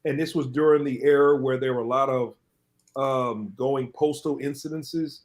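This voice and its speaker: A man's voice: mid-range voice, steady tone, moderate pace. A man, a podcast co-host in a casual panel discussion, who tells stories in a rambling way with many restarts.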